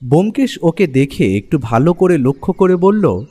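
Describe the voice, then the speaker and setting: High-pitched, lively male story narrator. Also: moderate pace, breathy edge. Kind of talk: scripted first-person story narration. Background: music.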